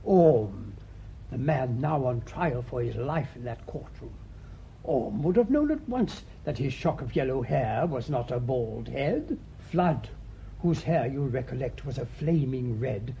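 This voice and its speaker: A British man acting a thoughtful, shrewd priest sleuth in a radio mystery, speaking in a measured, deliberate way. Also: very animated, gravelly, warm tone. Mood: shrewd, assured. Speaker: actor in the lead detective role. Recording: muffled radio recording with faint rumbling noise.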